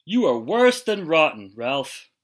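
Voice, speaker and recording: A man's voice: fairly high pitch, big pitch swings, slow pace, pitched above the performer's own voice, heavily acted. A man voicing a stern, exasperated father in a children's storybook, scolding emphatically.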